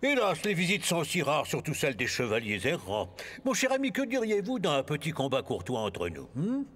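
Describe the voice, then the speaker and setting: A man voicing a bored, courtly old king in a children's cartoon, husky and given to flowery formal speech. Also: a little high, huge pitch swings, moderate pace, a bit bright, exaggerated voice.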